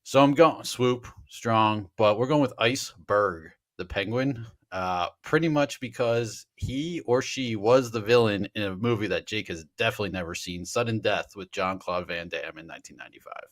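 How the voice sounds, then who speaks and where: A man's voice: fairly low pitch, moderate pace, hardly any pauses. A man co-hosting a sports betting show, chatting casually with wry, joking asides. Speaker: podcast co-host.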